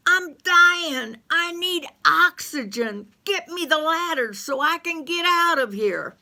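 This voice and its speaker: Squeamish panicky man, shrill and loud, played by a woman storyteller. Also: exaggerated voice. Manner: shrill, frantic, yelling.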